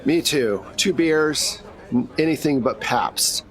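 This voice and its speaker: A man's voice: on the high side, animated, slow. A man playing a blunt, brash woman agent. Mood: brisk, blunt.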